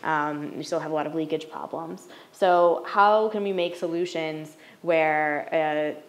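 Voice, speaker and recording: Low-pitched woman on a panel. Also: lo-fi sound. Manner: measured, hedging with "right?". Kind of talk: panel discussion answer. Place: large wood-paneled lecture hall.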